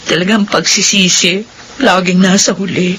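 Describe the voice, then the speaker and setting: A woman's voice: fairly low pitch, level tone, normal speed, background noise, lightly acted. An actress playing a heartbroken, bitter young Filipino mother in an emotional, lamenting radio drama style. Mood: bitter, regretful.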